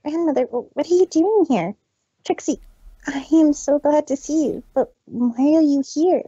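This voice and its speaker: A man voicing a young female unicorn magician from a cartoon-style story, earnest, tender and halting. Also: extremely high pitch, dark tone, dry recording, lo-fi sound, voice pushed up. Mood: surprised, tearful joy.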